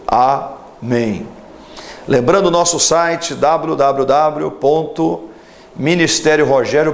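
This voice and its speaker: A man in his forties preaches on television in a fervent, emphatic way and leads a prayer for the viewers at home. His voice sits a little high for a man. He stretches out key words for weight. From Brazil. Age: 40s. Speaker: pastor.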